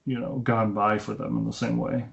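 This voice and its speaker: Slightly dull, lo-fi internet call audio carries a man with a mid-pitched voice. He co-hosts a film podcast, talking in a loose, rambling, conversational style. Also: little pitch movement, moderate pace, creaky. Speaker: podcast co-host reviewing films. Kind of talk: casual film discussion.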